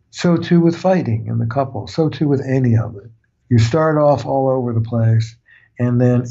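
A man's voice: medium pitch, warm tone, breathy edge, lo-fi sound. An older man, a family therapist, explaining his ideas earnestly with analogies in an interview. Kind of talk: reflective interview talk.

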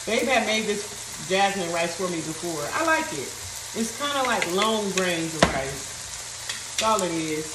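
A woman's voice: fairly low pitch, expressive, unhurried. A woman chatting casually while she cooks in her home kitchen, thinking aloud and reading recipe steps. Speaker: cooking vlogger. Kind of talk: casual cooking vlog chat. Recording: echoey kitchen, mic at a distance, frying noise. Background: sizzling and stirring in a pan.

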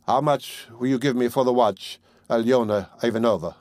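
A man's voice: medium pitch, moderate pace, darkish tone, no room sound, clean studio sound, natural voice. A man voicing a poor, tense young man who talks in a terse, guarded, brooding way.

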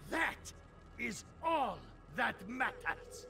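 An actor playing an arrogant, fanatical villain in a fantasy video game, with a theatrical, sneering, gravelly delivery. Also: male voice, very high voice, very animated, drawn-out words, exaggerated voice. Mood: scornful. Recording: game music behind the voice.

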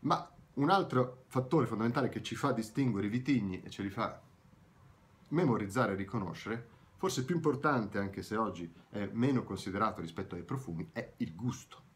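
A man's voice: lowish voice, slight background noise. A man in his thirties explaining wine tasting in a video tutorial, talking animatedly to the camera from a room at home. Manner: animated, gesturing, explanatory with self-corrections. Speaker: wine educator.

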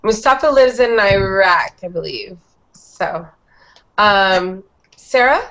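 A woman's voice: medium pitch, animated, slow pace, fairly bright tone, rough recording. A woman in her thirties hosting a group English class over a video call on a headset, encouraging each student with short praise. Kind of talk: friendly class moderation. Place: small room indoors.

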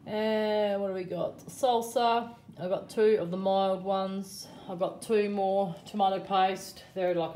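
A middle-aged Australian woman talks through her shopping haul at home, her voice mellow and relaxed. She chats along with easy ums and running price notes, and there is a faint hum of room noise behind her, picked up by a phone camera held over the table. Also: mid-range voice. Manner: chatty, running price commentary with frequent ums. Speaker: vlogger showing a grocery haul. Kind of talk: casual chat. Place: home kitchen or dining room.

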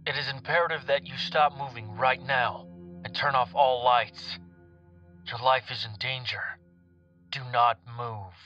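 A man voicing an unknown adult male texter who sends urgent, pleading warnings, in a much higher voice than his own. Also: steady tone, unhurried, sharp tone, lo-fi sound. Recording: music bed behind voice.

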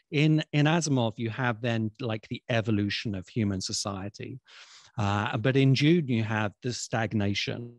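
A man in his forties explaining things conversationally in a thoughtful, hesitant way, pausing often, speaking into a close desk microphone. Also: medium pitch, dry recording. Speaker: guest expert on science fiction. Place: indoor room on a video call.

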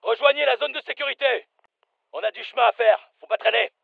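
Military game soldier, commanding, clipped callouts, gritty voice, dry sound. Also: male voice, very high voice, brisk, creaky, dry recording, phone-quality sound, exaggerated voice. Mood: brisk, focused. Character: urgent, brisk male special forces soldier.

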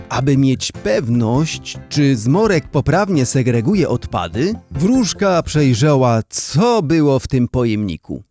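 A man's voice: mid-range voice, darkish tone. A man narrating a scripted story for children, as a storyteller.

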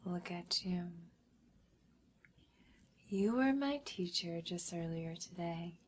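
A woman performing a whispered ASMR hypnosis role-play as a smug, controlling schoolgirl, with deliberate, commanding phrasing. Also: fairly low pitch, lively intonation, a little hiss or hum.

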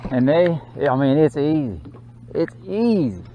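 A man giving excited running commentary while fishing from a small boat on a lake, recorded on a boat-mounted action camera. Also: on the high side, a little slow. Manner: excited, drawling exclamations and mutters to the fish. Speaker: fishing channel host. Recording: dull action-camera audio with faint noise.

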